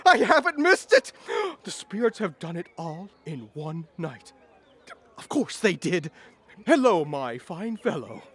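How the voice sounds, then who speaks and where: An actor playing a reformed old miser in an audio drama, effusive and courtly, generous and humble. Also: male voice, sits high, very animated, slow, a bit bright, husky, heavily acted. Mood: giddy delight. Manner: exclamatory, effusive, old-fashioned courtly speech.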